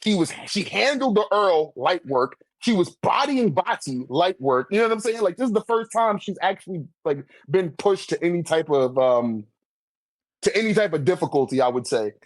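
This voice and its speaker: A man's voice: high voice, animated, moderate pace, no room sound. An American man chatting casually in a fan debate, swearing freely and leaning on slang and filler phrases. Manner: slangy, profane, repeats "like" and "you know what I'm saying".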